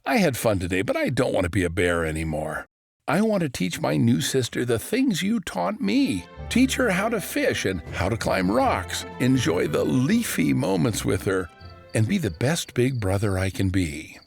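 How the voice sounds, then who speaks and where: Man putting on a high, whiny little boy. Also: wildly swooping pitch, normal speed, gravelly, faint music behind. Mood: earnest. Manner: whiny, exclaiming. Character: young runaway boy, whiny, defiant, earnest. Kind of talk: children's storybook character voice.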